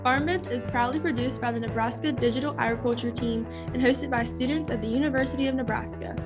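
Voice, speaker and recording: A woman's voice: on the high side, steady tone, quick pace, music in the background. A woman co-hosting a podcast, reading a polished intro and asking friendly questions. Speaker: podcast co-host.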